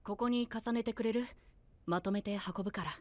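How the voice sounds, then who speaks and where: An actor playing a considerate, gentle young man in an anime-style voice drama, polite and casual. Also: high voice, moderate pace, a little hiss or hum, natural voice. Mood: mildly chiding, helpful. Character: mild, considerate, caring young man, responsible twin.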